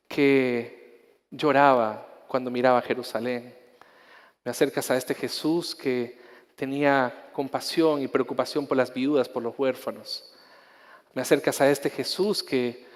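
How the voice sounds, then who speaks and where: A male pastor with a Chilean accent, preaching with passion, repeating himself and restarting phrases, then praying softly and intimately. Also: medium pitch, big-room sound. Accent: Chilean Spanish. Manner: impassioned preaching with repetitions and restarts; soft, intimate prayer. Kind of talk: sermon ending in prayer.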